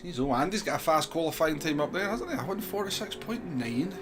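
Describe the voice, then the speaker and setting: A man's voice: on the high side, expressive, fairly quick, noisy background. A man hosting a gaming livestream, chatting casually and replying to viewers as he goes. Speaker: livestream host. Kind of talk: casual gaming stream chat.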